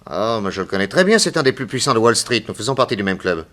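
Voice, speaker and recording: An actor playing a self-assured private detective in a French radio drama, brisk, methodical and authoritative. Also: male voice, lowish voice, sing-song, a bit bright. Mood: probing, assured.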